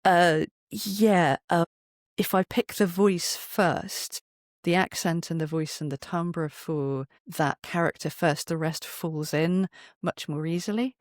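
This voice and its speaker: A podcast guest with a medium-pitched voice talks through their craft in conversation. They explain discursively, breaking off for asides and picking the thread back up. The recording is very dry, with hardly any room sound. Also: slow, no room sound. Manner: discursive, self-interrupting asides. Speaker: podcast guest, storyteller and voice performer. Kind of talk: conversational interview, explaining from experience.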